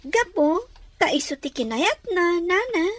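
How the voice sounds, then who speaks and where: A woman's voice: very high-pitched, sing-song, slow pace, no room sound, full character voice. A woman playing a modest, affectionate young woman in an audio drama, respectful to her elders. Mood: earnest. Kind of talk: acted Ilocano audio drama.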